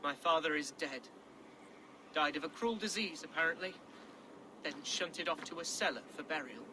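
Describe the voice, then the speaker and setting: A man's voice: a little high, normal speed, sharp tone, slight background noise, low-quality recording. An actor playing a young, tender-hearted Saxon nobleman in a game cutscene, earnest and courtly in manner. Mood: downcast. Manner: courtly, affectionate, florid. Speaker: video game supporting character. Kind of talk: dramatic game cutscene acting.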